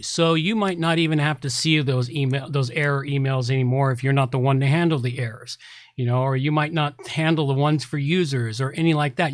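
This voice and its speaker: A man's voice: fairly high pitch, no echo. A man hosting a podcast, talking casually and informally through reviews with chatty asides. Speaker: podcast host.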